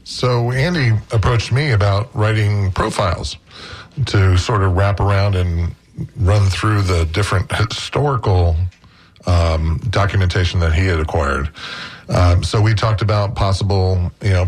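A man's voice: sits low, moderate pace. A man talking as a co-author guest on an interview show, easygoing and loose, with fillers. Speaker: writer, co-author. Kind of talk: casual interview talk.

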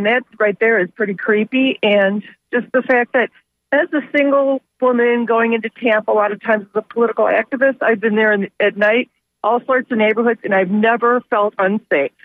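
A woman's voice: fairly high pitch, no echo. A woman phoning in to a radio show, giving a casual, unscripted opinion over a telephone line. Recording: dry, narrow telephone line.

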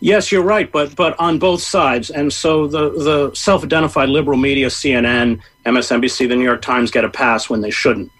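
A middle-aged man answering an interviewer at length in a voice of ordinary pitch. He talks in a measured way and stops often on fillers as he builds his points. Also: steady tone, fairly bright tone. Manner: measured, frequent hesitations. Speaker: interview guest, journalist. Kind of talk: interview answer, political commentary.

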